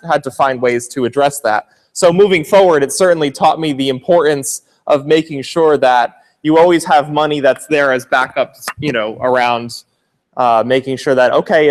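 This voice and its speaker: A man speaking on stage as an entrepreneur, with a loud projecting voice, reflecting on lessons from failure. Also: on the high side. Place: large auditorium stage. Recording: long hall reverb.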